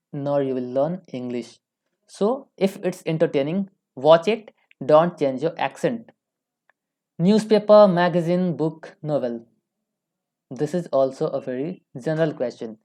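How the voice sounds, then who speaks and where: A woman's voice: low voice, measured pace, warm tone. A young woman with an Indian accent giving an informal English lesson, repeating phrases for emphasis. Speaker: online English teacher. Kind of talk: informal instructional lecture.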